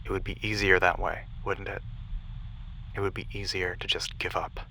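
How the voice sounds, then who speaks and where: Casting: man to play determined, defiant mission-control major for sci-fi audio drama; sharp, forceful delivery with weary, hushed drops, over faint hum. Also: low voice, flat delivery, moderate pace, creaky, full character voice, low-quality recording. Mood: weary, compassionate. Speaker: voice actor in audio roleplay.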